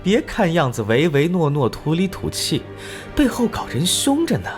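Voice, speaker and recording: An actress playing a brazen, flirtatious, sharp-tongued female assistant in an animated crime drama, with a sassy, gossipy drawl. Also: low-pitched, normal speed, soft background music. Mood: gossipy, catty.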